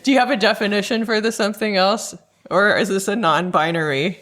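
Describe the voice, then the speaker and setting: A woman's voice: medium pitch, a little fast, a bit bright. A woman hosting an interview podcast, asking questions in a friendly, upbeat way. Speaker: podcast host.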